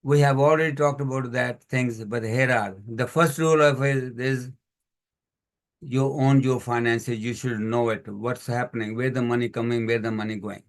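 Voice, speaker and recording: A man's voice: medium pitch, steady tone, normal speed. A man giving a workshop talk on money over a video call, listing examples one after another in non-native English phrasing. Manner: explains with many lists and examples. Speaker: financial educator. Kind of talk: community workshop presentation.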